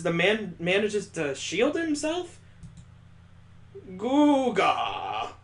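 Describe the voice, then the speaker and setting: A streamer reads a visual novel aloud in a fairly high voice at an unhurried pace. He cuts in with crude, joking asides and his own mock sound effects. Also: fairly bright tone. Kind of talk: reading a visual novel aloud with jokey commentary.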